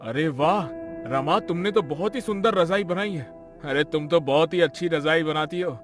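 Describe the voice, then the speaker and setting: Humble young family man male actor, struggling and earnest, raised pitch, cartoon tale with faint music. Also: moderate pace, close to an everyday voice. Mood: pleased. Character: poor, worried, humble young husband seeking work.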